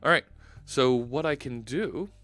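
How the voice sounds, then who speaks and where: A man's voice: medium pitch, measured pace, a bit bright, no room sound, soft background music. A man in his forties with a Philadelphia accent, hosting a casual coding live stream through a headset and thinking aloud with lots of fillers. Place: home studio, indoors.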